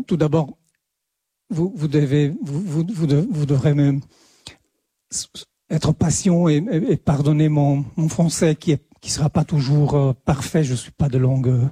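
A man with an Italian accent delivers a slow conference talk in a non-native language, stumbling and restarting his phrases as he searches for words. His voice is very dark and somewhat husky, and it sits a little high for a man. The sound is dry and close. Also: no room sound. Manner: halting, many repeated words and restarts. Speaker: lecturer.

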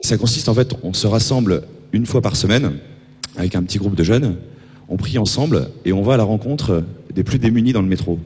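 Low, mellow young Frenchman in a hall. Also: fairly quick. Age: young adult. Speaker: student giving a testimony. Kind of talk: informal, hesitant personal testimony. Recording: reverberant hall, faint hum.

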